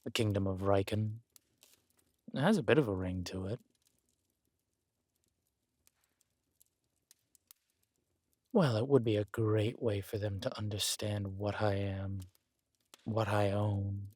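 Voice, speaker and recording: A man playing a regal, wry, menacing villain king in a roleplay audio drama, speaking in a measured, intimate, smugly theatrical way. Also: on the low side, a little mellow, no echo. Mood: musing.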